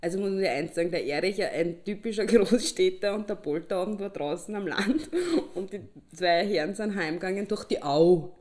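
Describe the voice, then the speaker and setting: A woman's voice: on the low side, normal speed, few pauses, darkish tone, close to an everyday voice. An actress playing a chatty woman with an Austrian accent who bickers insistently in a naturalistic radio drama. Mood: amused, fond. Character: chatty woman bickering with her partner about an old friend.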